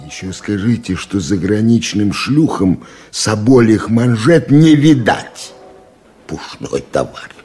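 A cold, bitter general. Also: male voice, fairly low pitch, lively intonation, takes it slowly, dark tone, husky, faint music behind, heavily acted. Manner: curt commanding orders, bitter sarcasm. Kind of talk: archival radio play acting.

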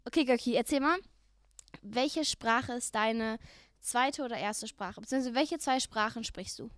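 A woman's voice: a little high, a little slow, no room sound, clean studio sound. An eighteen-year-old woman from Germany, co-hosting a podcast in a casual chat full of youthful slang. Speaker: podcast co-host.

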